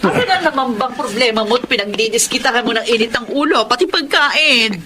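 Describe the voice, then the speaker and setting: A woman playing a long-suffering, sharp-tongued older mother in a radio drama, scolding and world-weary. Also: fairly high pitch, expressive, a little fast, noisy background, lightly acted. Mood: exasperated. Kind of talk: Tagalog radio drama acting.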